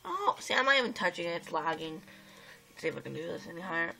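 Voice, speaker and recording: A woman's voice: lowish voice, sing-song, fast talker, vocal fry, sharp tone. A young girl who is sick, casually chatting as she plays a game at home, recorded on a phone filming the screen, rambling with lots of "um" and "like". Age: child or young teen. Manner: rambling, frequent "um" and "like", exclaims at the game. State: sick, voice a bit rough. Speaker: gameplay vlogger. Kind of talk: casual gameplay chat. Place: home room by a computer. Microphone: phone camera filming a screen.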